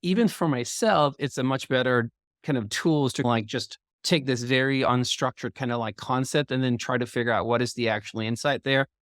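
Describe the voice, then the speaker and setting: A man who speaks English co-hosts a podcast interview. His voice sits at a middle pitch. He talks in a reflective, loosely phrased way with many fillers, and the recording is dry and close. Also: moderate pace, a bit bright, no echo. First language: Danish. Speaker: podcast co-host. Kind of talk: conversational interview.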